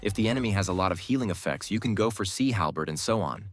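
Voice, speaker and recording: A man's voice: lowish voice, animated, fast. A man giving scripted, instructive game guide commentary with a cocky, playful streak. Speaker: gaming guide creator, commentator.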